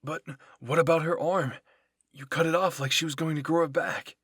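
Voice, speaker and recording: A man's voice: medium pitch, steady tone, takes it slowly, gravelly, slightly rough, dry recording, clean studio sound, exaggerated voice. Man acting an anxious, desperate young soldier. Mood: upset.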